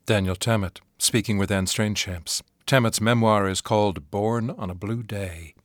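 A man hosting a radio program, reading scripted links in a calm announcing manner. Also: on the low side, normal speed, dry recording, studio quality. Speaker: radio host. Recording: voice over piano music.

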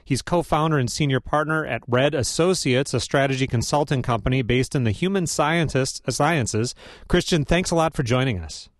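A man hosting a public radio interview show, reading measured, scripted introductions and asking questions. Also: mid-range voice, no echo. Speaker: radio host.

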